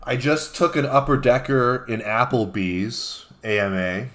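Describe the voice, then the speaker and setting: A man guesting on a comedy talk show, bantering casually and reading posts aloud in a halting way. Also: medium pitch, a bit bright.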